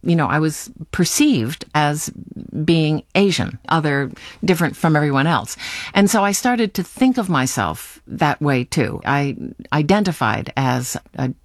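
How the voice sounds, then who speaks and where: A woman in her sixties from the United States, answering interview questions thoughtfully with pauses and restarts. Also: low-pitched, lively intonation, no room sound, studio quality. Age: 60s. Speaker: novelist and filmmaker guest.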